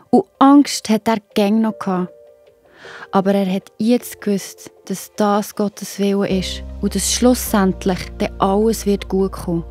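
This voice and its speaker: Woman narrator, Swiss German accent, medium pitch, expressive children's Bible storytelling, light music behind.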